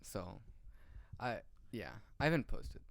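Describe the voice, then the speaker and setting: A man's voice: on the low side, animated, takes it slowly, creaky, no room sound. A young man co-hosting a casual podcast, talking playfully with hesitant restarts. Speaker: podcast co-host. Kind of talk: casual chat among friends.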